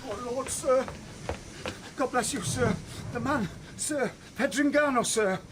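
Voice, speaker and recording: An actor playing a nervous, deferential hangman in a radio tragedy, halting and fawning as he addresses his better. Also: extremely high pitch. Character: flustered, deferential servant, a nervous comic hangman. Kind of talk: Elizabethan tragedy radio acting. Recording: clear background noise and ambience.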